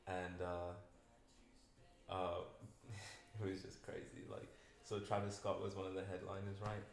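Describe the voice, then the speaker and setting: A man's voice: low-pitched, flat delivery, a little slow, creaky. A young man hosting a podcast, chatting in a laid-back, rambling way and filling his talk with "like.". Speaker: podcast host. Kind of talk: casual podcast chat. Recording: roomy room with faint noise.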